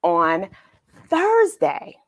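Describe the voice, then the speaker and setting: Animated, unhurried woman reading a children's story. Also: high-pitched, creaky, dry recording, studio quality. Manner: expressive, emphatic, dramatic pauses. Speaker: children's read-aloud host. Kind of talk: storybook narration with questions to young listeners.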